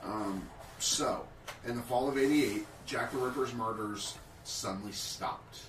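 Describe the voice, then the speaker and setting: A man's voice: mid-range voice, measured pace, a bit bright, far from the mic, a little hiss or hum. A man co-hosting a casual podcast, reading prepared history notes aloud with small slips. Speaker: podcast co-host. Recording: roomy, off-mic sound.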